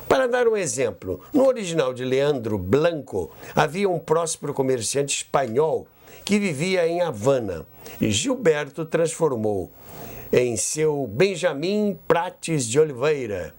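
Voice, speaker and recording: A man with a Brazilian accent hosting a history program, narrating a scripted account in a measured, emphatic storyteller's way. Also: on the high side, darkish tone. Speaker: host of a radio history show.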